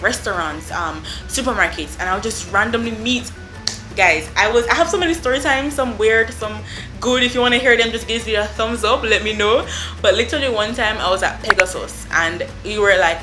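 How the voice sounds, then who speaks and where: A woman's voice: medium pitch, reverberant, poor audio. A young woman from Jamaica with a Jamaican accent, telling a story to camera in an effusive, chatty way. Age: young adult. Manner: effusive, animated, lots of "I mean" and "like". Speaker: vlogger. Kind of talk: casual storytime.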